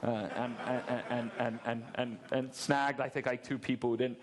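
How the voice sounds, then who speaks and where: A man's voice: medium pitch, level tone, normal speed, noisy background. A man in his thirties fielding audience questions at a convention talk on a headset mic, candid and joking, often restarting his words. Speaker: security presenter at a game convention. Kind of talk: conference Q&A answers. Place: large dark convention stage.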